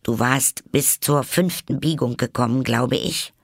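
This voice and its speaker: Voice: medium pitch, measured pace, gravelly, background noise, voice pushed up. A reader voicing a curious, polite and eager little girl in a storybook, earnest and exclaiming. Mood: apologetic, pleading.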